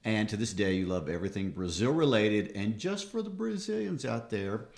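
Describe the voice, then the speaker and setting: A man's voice: lowish voice, very animated, normal speed, gravelly, darkish tone. A man, talking conversationally as the co-host of a podcast.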